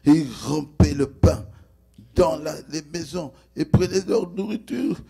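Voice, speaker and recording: Older Congolese preacher, high gravelly voice. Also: male voice, unhurried, dark tone. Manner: exhorting, questions the congregation, interjects "hein". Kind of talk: live church sermon delivered in short phrases for interpreting. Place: church service.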